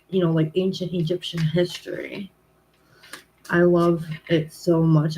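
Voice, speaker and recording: A woman's voice: lowish voice, darkish tone, a little hiss or hum, poor audio. Rambling woman tarot reader, chatty. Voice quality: commanding voice. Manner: rambling, self-correcting, frequent "like" and "um". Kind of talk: casual livestream chat.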